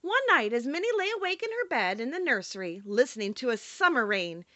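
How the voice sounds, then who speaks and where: A woman narrating a children's storybook in an expressive, dramatic storytelling manner. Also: a little high, a little fast, no echo. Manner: animated, storytelling delivery with dramatic emphasis. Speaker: audiobook narrator.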